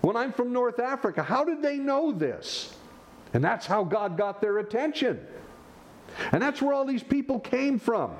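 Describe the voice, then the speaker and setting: Guy preaching a sermon, voice sits kinda high and bounces all over, faint room noise behind. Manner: animated preaching, rhetorical questions, sudden pitch swings. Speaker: pastor.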